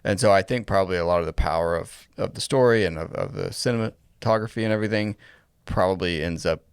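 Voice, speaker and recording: A man's voice: low voice, quick pace, clear, resonant tone, no room sound, clean studio sound. A man co-hosting a casual podcast discussion, speaking in a reflective, tentative way. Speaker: podcast co-host.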